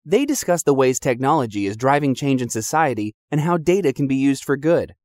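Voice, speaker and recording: A man reading a scripted introduction for an announcer segment in an even, read-aloud manner. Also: medium pitch, brisk, dry recording, clean studio sound.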